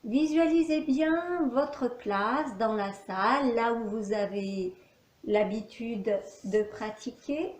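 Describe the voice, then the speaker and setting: A woman teaching yoga nidra, giving calm, measured relaxation instructions with long pauses. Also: a little high. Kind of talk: guided relaxation meditation. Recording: roomy echo, faint background noise.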